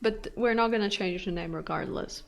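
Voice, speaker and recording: A woman's voice: mid-range voice, moderate pace, creaky. A woman whose first language is Latvian, chatting casually and wryly as she answers viewer questions during a painting stream. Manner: playful, wry, chuckling asides.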